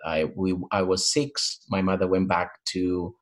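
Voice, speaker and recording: 低い声で言いよどむ男性. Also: male voice, low-pitched, little pitch movement, a little slow. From Portugal and Africa. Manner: hesitant, many fillers and restarts. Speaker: interview guest, mentor. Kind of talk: interview answers. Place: in England.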